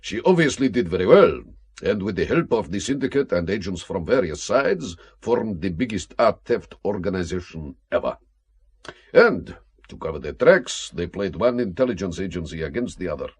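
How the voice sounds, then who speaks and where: An actor playing a suave, worldly Russian KGB colonel in a radio spy drama, urbane and deliberate with dry amusement. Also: male voice, low-pitched, sing-song, darkish tone, husky, heavily acted. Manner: urbane, deliberate, drily amused, formal phrasing.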